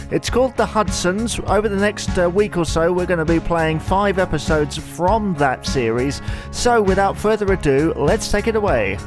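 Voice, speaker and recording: A man introducing a podcast as its host. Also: fairly high pitch.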